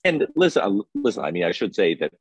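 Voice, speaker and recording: Deep-voiced man speaking haltingly. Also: sing-song, breathy edge, dry recording. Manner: halting, hesitant phrasing with frequent self-repetition. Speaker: film festival programmer and interview guest. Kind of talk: conversational interview answer.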